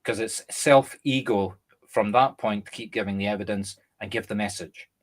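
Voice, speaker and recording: A Scottish man, a medium and co-host, chatting casually and explaining through lively examples. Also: on the low side, moderate pace. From Scotland. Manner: animated, explains through examples and acted-out quotes. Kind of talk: casual conversation.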